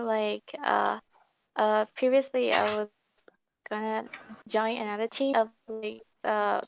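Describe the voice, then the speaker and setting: A woman from Vietnam introducing herself on a video call, halting and full of fillers. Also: a little high, creaky, a little hiss or hum, poor audio. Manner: halting, many fillers, laughs softly. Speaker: illustrator, contest winner.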